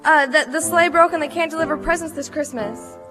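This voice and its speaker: A woman's voice: high voice, fairly quick, close to an everyday voice. An actress playing an earnest, dramatic woman in a radio play sponsor ad. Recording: loud music over the voice.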